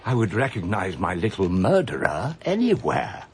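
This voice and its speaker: An actor playing an elderly male judge in a radio drama, speaking in a measured, precise, ominous way. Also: low-pitched, wildly swooping pitch, slight background noise, heavily acted. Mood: grave. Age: older. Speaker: retired judge.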